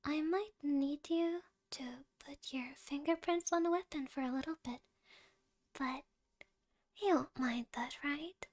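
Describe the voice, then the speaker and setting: A woman playing a shy young yandere who is possessive and sweetly menacing, in soft breathy ASMR roleplay with whispering and hesitant pauses. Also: sits high, no echo, studio quality. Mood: hushed, scheming. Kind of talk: ASMR audio roleplay, intimate one-sided dialogue.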